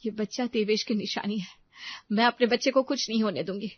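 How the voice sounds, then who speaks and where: A woman's voice: mid-range voice, brisk, no echo, highs cut off, natural voice. A woman voicing an anguished but defiant young widowed mother in a melodramatic audio story, emotional and protesting.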